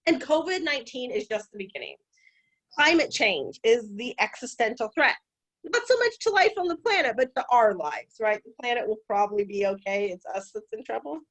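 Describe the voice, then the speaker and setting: Female scholar guest speaker, high voice with wide swings, animated public talk. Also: brisk, low-quality recording. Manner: animated, conversational, occasional restarts. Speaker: guest lecturer. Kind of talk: public talk on ancient history.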